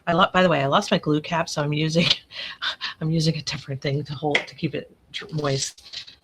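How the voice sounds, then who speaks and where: A woman with a raspy, allergy-worn voice, rambling and correcting herself as she talks through a live crafting tutorial at her desk. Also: low-pitched, fairly even intonation, normal speed, heavy background noise. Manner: chatty, rambling, self-correcting. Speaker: craft channel host.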